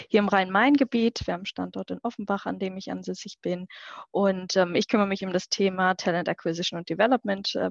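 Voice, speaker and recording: A woman's voice: medium pitch, creaky, no echo, muffled recording. A woman speaking as a company recruitment manager and guest, explaining things in an interview.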